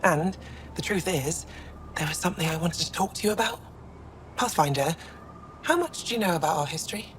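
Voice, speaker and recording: An actress playing a warm, curious female alien curator in a video game, thoughtful and inquisitive. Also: lowish voice, lively intonation, brisk, pauses often, slight background noise, close to an everyday voice, rough recording. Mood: intrigued, friendly. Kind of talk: video game dialogue acting.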